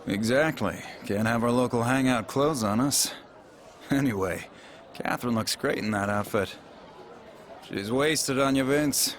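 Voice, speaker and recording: An actor playing an easygoing, wry drinking buddy in a game cutscene, casual. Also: male voice, mid-range voice, a little slow, lightly acted. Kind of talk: video game cutscene acting. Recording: faint crowd and noise behind.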